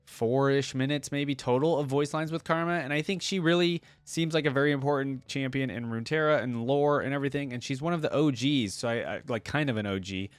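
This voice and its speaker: A man's voice: medium pitch, a little fast, hardly any pauses, clean tone, no room sound. Man chatting casually in a dry room. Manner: rambling, conversational. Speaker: video creator reacting to game voice lines. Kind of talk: casual chat, reaction commentary.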